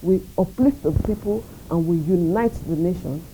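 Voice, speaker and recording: A Nigerian woman speaking as a radio station executive in an interview, measured, earnest and proud. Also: fairly low pitch, warm tone, slight background noise, poor audio. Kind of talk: interview answers.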